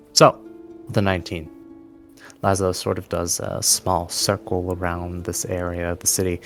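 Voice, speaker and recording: A man acting as game master, narrating a tabletop fantasy game in a descriptive, deliberate storytelling manner. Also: deep voice.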